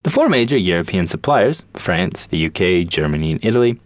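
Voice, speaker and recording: Male news reporter with lively intonation. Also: low voice, brisk, dry recording.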